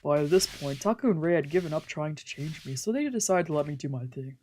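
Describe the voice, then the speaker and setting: A woman's voice: sits low, animated, a little fast, mellow, slight background noise. A woman reading a visual novel aloud for a let's play, moving between story narration and casual, slangy asides. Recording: music playing behind the voice.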